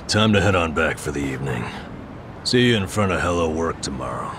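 An actor voicing a calm, weary, understated man in a video game, with a dry, unhurried manner. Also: extremely low pitch, background noise. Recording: music behind the voice.

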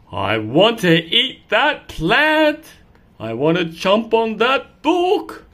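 A grumpy, dramatic house cat from a picture book, as a woman reader puts it on. The pitch jumps high and swoops widely. Words are drawn out and exclaimed with great self-importance. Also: huge pitch swings, drawn-out words, a bit bright, full character voice. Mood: bored, restless.

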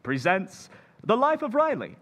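A male announcer giving measured, wry scripted narration for an old-time radio comedy recreation. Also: sits high, wildly swooping pitch, in a large hall.

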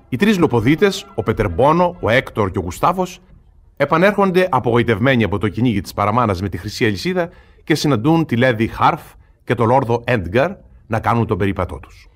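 A man's voice: mid-range voice, big pitch swings. A man reading scripted narration for a radio play. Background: music.

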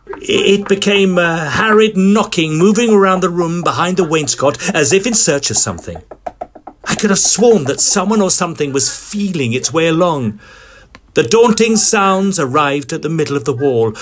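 A man playing an excitable Edwardian gentleman ghost hunter, telling his story in formal, theatrical audio drama style. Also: fairly high pitch, a little hiss or hum. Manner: formal, old-fashioned, dramatic phrasing. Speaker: narrator and lead character.

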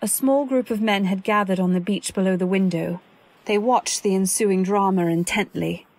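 A woman playing an anxious, earnest wife, narrating a radio drama in a literary, deliberate way. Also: medium pitch, a little mellow, close to an everyday voice. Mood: tense, reflective. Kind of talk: dramatic scripted narration, old-time radio.

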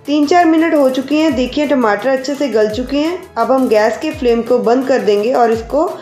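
High-voiced woman explaining a recipe. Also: normal speed, reverberant, faint music behind. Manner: calm, explanatory, addresses viewers directly. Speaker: cooking channel host. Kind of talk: step-by-step cooking instruction. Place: home kitchen.

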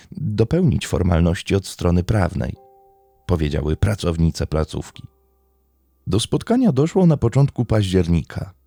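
A man narrating a scripted true crime story in a measured, dramatic storytelling manner. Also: low-pitched, creaky, warm tone, no echo. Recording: faint music behind. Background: faint background music.

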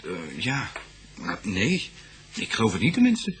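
A man's voice: on the low side, wildly swooping pitch, measured pace. An actor playing a young male student in a Dutch radio police drama, explaining things in a hesitant, informal, faltering way.

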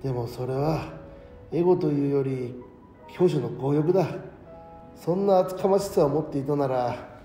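A man acting an ageing, modest schoolteacher in an audio drama, speaking as a reflective, principled and gently frank mentor. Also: a little high, lightly acted. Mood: earnest, reflective. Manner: reflective, measured mentor talk. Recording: echoey hall reverb, music underneath.

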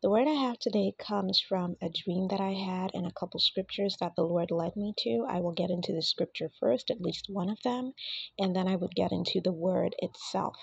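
A woman who is under the weather and a bit raspy, giving an earnest, casual prophetic message and speaking directly to her listeners. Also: mid-range voice, normal speed, no echo, muffled recording. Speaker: prophetic minister.

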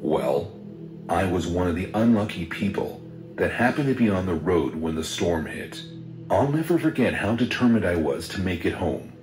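A man reading a first-person horror story in a steady, measured storytelling voice. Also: sits low. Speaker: narrator. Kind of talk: scripted horror story narration. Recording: roomy sound with music underneath.

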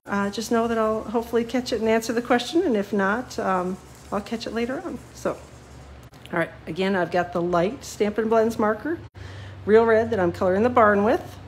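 A woman's voice: fairly high pitch, normal speed, slight background noise, rough recording. A woman demonstrating card-making live, chatty and self-deprecating with lots of ums, a little sniffly from a cold. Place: home craft desk, seen from above.

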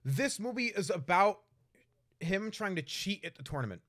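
Male co-host, fairly high voice, conversational, blunt and sweary. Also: big pitch swings, moderate pace, fairly bright tone. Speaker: co-host of a film discussion show. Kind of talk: casual chat.

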